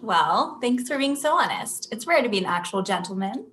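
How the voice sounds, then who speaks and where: Woman acting a lively thirty-year-old professional. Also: fairly high pitch, normal speed, a little hiss or hum. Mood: friendly, casual. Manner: conversational, questioning. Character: indignant, plain-spoken thirty-year-old woman on a work meeting. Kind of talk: comedy table read.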